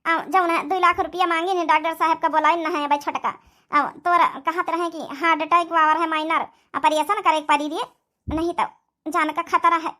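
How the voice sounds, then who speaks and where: A woman's voice: very high voice, steady tone, moderate pace, bright, forceful tone. A woman with an Awadhi accent playing a worried, devoted elderly village mother-in-law in a cartoon comedy. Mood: fretful. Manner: fretful, pleading.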